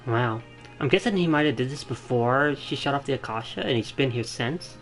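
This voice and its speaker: A man's voice: mid-range voice, fairly quick, fairly bright tone. Guy doing laid-back playthrough commentary, little murmured reactions, game music behind him. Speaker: game streamer. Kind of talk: casual live playthrough commentary. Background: video game music and dialogue.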